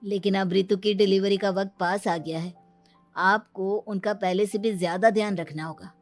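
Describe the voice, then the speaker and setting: A woman playing a calm doctor in a cartoon story, explaining in a matter-of-fact, reassuring way. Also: mid-range voice, moderate pace, faint music behind, natural voice. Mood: calm, instructive. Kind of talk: Hindi animated moral story acting.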